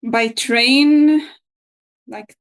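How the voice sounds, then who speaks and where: A woman in her thirties answers questions in a casual interview, hesitant and full of small pauses. Her voice sits a little high. She was born in Russia and grew up in Israel. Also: steady tone. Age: 30s. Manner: hesitant, many ums and uhs. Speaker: guest.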